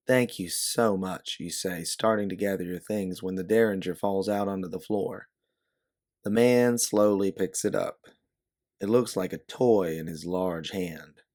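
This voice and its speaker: A man's voice: sits low, unhurried, dark tone. A man narrating a scripted story in the second person, as its author and storyteller.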